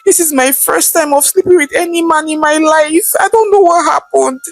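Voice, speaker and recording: A woman's voice: high voice, normal speed, dry recording, pitched above the performer's own voice. A woman voicing a desperate young woman who pleads through tears. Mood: pleading. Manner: tearful, pleading.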